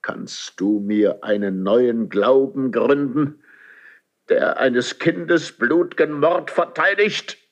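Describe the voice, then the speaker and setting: An actor playing a troubled ageing king, proud yet weary and guilt-ridden, with a solemn, halting delivery in a classical German radio drama. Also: medium pitch, natural voice. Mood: agitated. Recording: dull 1970s radio drama recording.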